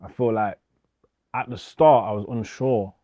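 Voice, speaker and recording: A man's voice: on the low side, fairly even intonation, unhurried, mellow, no echo. A young man, speaking informally with slang and repetition as a DJ being interviewed. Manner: informal, slangy, repeats himself. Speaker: DJ, interview guest. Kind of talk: casual documentary interview.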